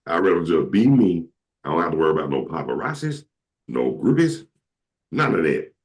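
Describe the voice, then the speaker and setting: A man's voice: big pitch swings, normal speed. A man, hosting a casual chat, joking and telling stories. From Atlanta, Georgia. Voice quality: very deep voice. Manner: joking, slips into comic impressions. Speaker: show host.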